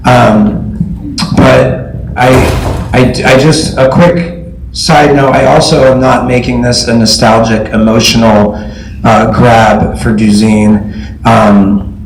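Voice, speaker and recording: A man's voice: medium pitch, level tone, music in the background. Scratchy-voiced man in an echoey hall. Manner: measured, halting with fillers. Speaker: teacher and parent speaking at public comment. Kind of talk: public comment at a school board meeting. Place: meeting room. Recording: roomy meeting hall audio, faint noise.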